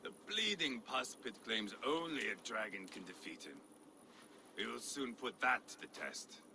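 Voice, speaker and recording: An actor playing a sadistic, gleeful middle-aged Norse warrior in a video game, gravelly and theatrical, taunting and unstable. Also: male voice, sing-song, unhurried. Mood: menacing. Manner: theatrical, swinging from low menace to roaring. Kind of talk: dramatic video game acting. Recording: noisy game ambience behind the voice.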